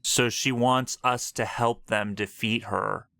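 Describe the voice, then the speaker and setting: A man streaming a video game playthrough, commenting casually in a slangy, excitable, reactive way into a close mic. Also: mid-range voice, takes it slowly, gravelly, clear, resonant tone, no echo.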